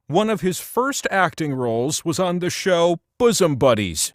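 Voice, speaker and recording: High-voiced, swooping, self-important game-show wheel. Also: male voice, a bit bright, dry recording, clean studio sound. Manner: playful, self-important, conversational asides. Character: giant all-knowing talking game-show wheel, boastful, chummy, fond of humans. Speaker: quiz game host. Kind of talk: theatrical, comic quiz-show patter.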